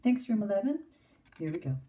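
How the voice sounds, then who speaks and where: A woman teacher reading a picture book aloud to children in an expressive storybook manner. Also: mid-range voice, a little fast, recorded from a distance.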